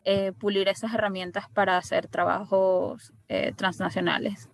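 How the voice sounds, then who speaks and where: A Venezuelan woman introduces herself over a video call, eager and a little halting. Her sound is dry and close, with a mid-range pitch. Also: level tone, creaky, no room sound. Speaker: journalist. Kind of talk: self-introduction.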